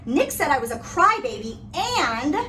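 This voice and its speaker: A woman reading a picture book aloud in an animated way, with dramatic emphasis on key phrases. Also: sits high, normal speed. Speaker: read-aloud reader. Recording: noisy, distant microphone.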